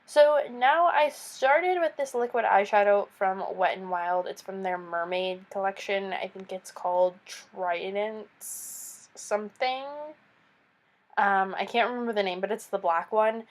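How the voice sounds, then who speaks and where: A woman's voice: medium pitch, unhurried. A young woman with a stuffy, nasal voice from a cold, chatting through a makeup tutorial voice-over as a beauty vlogger. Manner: chatty, explains each step with casual asides.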